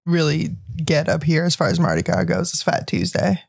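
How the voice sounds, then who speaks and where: A man's voice: on the high side, very animated, moderate pace, gravelly, dry recording, clean studio sound. A man co-hosting a casual bartending podcast, matter-of-fact in his remarks. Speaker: podcast co-host.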